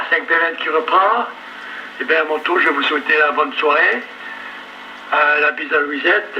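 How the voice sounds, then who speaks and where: A man chatting casually as a radio amateur over a two-way radio. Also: on the high side. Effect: two-way radio transmission. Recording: dull, slightly noisy ham radio relay.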